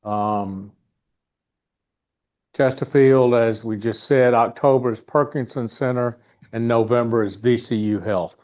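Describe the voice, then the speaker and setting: Slow-paced man hosting a club meeting. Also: medium pitch, fairly even intonation, darkish tone. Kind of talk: informal announcements and guest introduction. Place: indoor meeting room.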